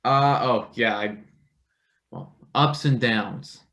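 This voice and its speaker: A man's voice: medium pitch, slow pace, fairly bright tone. A man playing a nervous young college intern in a stage play reading, stammering, rambling and self-deprecating. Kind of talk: live table read of a satirical stage play.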